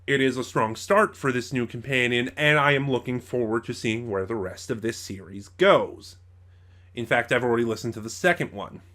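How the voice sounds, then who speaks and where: A man's voice: mid-range voice, normal speed, a bit bright. A man hosting an informal spoken review, opinionated and rambling, often stopping to correct himself. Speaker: reviewer, channel host.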